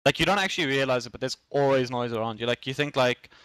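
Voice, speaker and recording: Young man, South African accent, mid-pitched voice, casual hesitant podcast guest, dry recording. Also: fast talker, a bit bright, no room sound. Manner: hesitant, repetitive phrasing with slang. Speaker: podcast guest, esports player. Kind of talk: casual chat.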